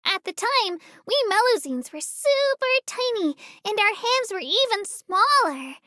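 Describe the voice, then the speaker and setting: Very high, cute little creature tour guide. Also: female voice, sing-song, unhurried, pushed and bright, dry recording, studio quality, exaggerated voice. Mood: bright, welcoming. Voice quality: cute, girlish. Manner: earnest, polite, childlike enthusiasm. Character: small, cheerful, eager female Melusine creature tour guide. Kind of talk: scripted video game character narration.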